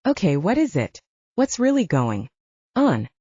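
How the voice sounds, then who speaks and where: A woman's voice: lowish voice, huge pitch swings, unhurried, warm tone, breathy edge, no room sound, full character voice. A woman voicing a tough but distressed young female soldier in an audiobook, demanding and emotional, cursing as she talks. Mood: stern, demanding. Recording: dull lo-fi audio, dry.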